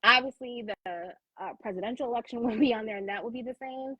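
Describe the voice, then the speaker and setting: A woman's voice: on the high side, fast, pushed and bright, slightly rough, no room sound, low-quality recording. An American woman co-hosting a talk show, explaining things casually in an emphatic, informal and encouraging way.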